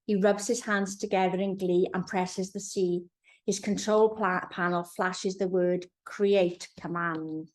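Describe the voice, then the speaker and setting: A woman narrating a storybook for young children in an expressive storytelling manner. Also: mid-range voice, a little slow. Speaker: author reading own children's book.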